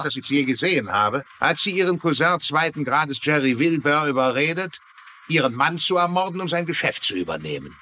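Dry, bright-voiced, fed-up sheriff. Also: male voice, mid-range voice, a little hiss or hum. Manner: dry, sardonic, official. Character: weary, gruff small-town American sheriff, fed up. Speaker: voice actor in a dubbed crime series. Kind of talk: dubbed TV crime drama acting.

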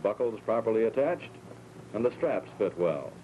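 A man's voice: lowish voice, very animated, normal speed. An American man giving scripted, step-by-step instruction as a flight instructor in a 1940s training film. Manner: clear, orderly step-by-step instructing. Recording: muffled, noisy 1940s film soundtrack.

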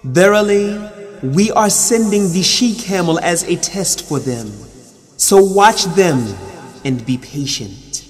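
A man reading translated scripture solemnly, with measured, formal and deliberate phrasing. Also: sits high, expressive, faint music behind. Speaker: reader of Quran translation. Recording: long hall reverb.

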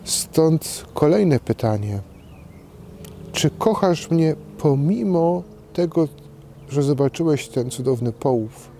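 Faint outdoor noise sits behind a man preaching as a priest. His voice is soft-edged and mellow, pitched a little high for a man. He takes his time, setting out each phrase deliberately with pauses. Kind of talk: short scripted homily to camera. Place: outdoors in a park.

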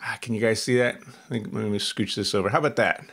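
A man in his fifties hosting a casual, relaxed tutorial from a small home radio room, chatting and thinking aloud as he demos on screen. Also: on the low side, fast talker, vocal fry, husky. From Oregon, US. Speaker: amateur radio channel host.

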